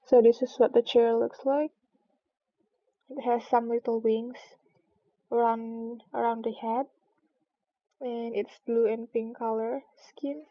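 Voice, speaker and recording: A woman streamer with a high voice and little rise or fall in it. Her delivery is casual, suited to chatting or reading a story aloud. The recording sounds a bit dull and muffled. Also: flat delivery, slow pace, pauses often.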